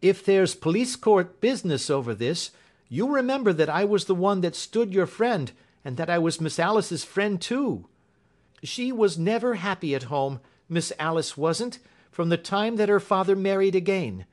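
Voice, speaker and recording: A man's voice: sits high, expressive, moderate pace, pitched above the performer's own voice. A man voicing a gaunt, knowing servant woman, deferential but insistent and folksy, in a storybook reading. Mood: earnest.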